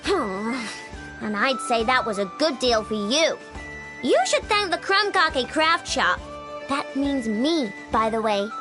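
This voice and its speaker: A woman voicing a cheeky, boastful young girl inventor in a video game, breezy and childlike. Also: high-pitched. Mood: smug. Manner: breezy, self-assured, childlike.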